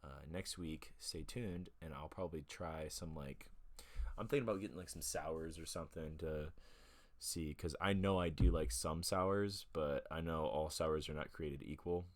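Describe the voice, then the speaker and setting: A man's voice: rumbling low, moderate pace. A man hosting his own online music show from home, chatting casually and rambling with lots of fillers. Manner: rambling, relaxed, with many fillers. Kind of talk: casual chat. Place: home room with a gaming chair. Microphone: laptop setup with a homemade camera mount.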